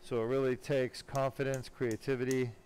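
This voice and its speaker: Male surgeon, mid-pitched, conversational and confident, narrating a procedure live in a noisy operating room. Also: level tone, normal speed, darkish tone. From born in Germany, later lived in California. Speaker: spine surgeon operating and explaining. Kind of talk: live surgery commentary, casual explanation. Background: room noise and ambience.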